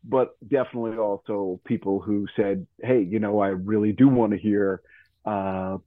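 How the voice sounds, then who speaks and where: A man chatting casually as a radio collector, with hesitant, halting phrasing, over a thin phone-like line. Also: on the low side, steady tone, dry recording. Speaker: old-time radio collector. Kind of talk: casual chat.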